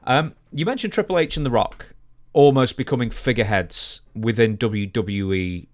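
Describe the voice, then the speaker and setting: A man's voice: medium pitch, moderate pace, no room sound. A young man co-hosting a podcast, chatting casually about wrestling into a close studio microphone. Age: young adult. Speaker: podcast co-host. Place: small home studio. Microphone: broadcast microphone on boom arm, close.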